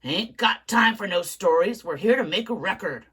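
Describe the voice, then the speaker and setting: A woman's voice: medium pitch, expressive, normal speed, a bit bright. Woman reading as a brash, hot-tempered older studio boss. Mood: angry. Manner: gruff, blunt, snappy.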